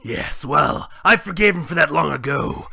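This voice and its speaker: A man voicing a hulking, grudge-holding male warrior in a gravelly, husky, roaring voice during fan gameplay voice acting. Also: on the high side, animated, normal speed, bright, forceful tone, exaggerated voice. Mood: gloating.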